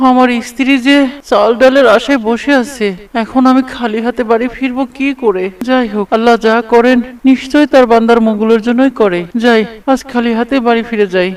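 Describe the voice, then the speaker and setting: A smooth, very high male voice of a man acting a poor fisherman in a cartoon. He sounds pious and patient, slightly away from the microphone. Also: fairly even intonation, fairly quick, far from the mic. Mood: despairing, resigned.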